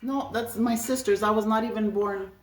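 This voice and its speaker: A woman's voice: a little high, steady tone, normal speed. A woman in her fifties from Montreal, chatting casually at home and explaining her family's history at length. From Montreal, Canada. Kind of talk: casual chat. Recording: roomy distant home recording with background noise.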